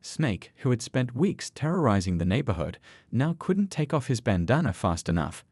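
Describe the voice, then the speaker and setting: A man's voice: mid-range voice, sing-song, moderate pace, darkish tone, slightly rough, no room sound. A man narrating a scripted dramatic story.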